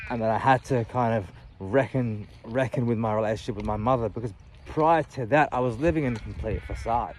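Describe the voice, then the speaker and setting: A man in his late thirties talking candidly about his life in a reflective, halting way, often restarting and adding "you know". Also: lowish voice, a little hiss or hum. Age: 30s. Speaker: vlogger. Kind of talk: personal reflective monologue.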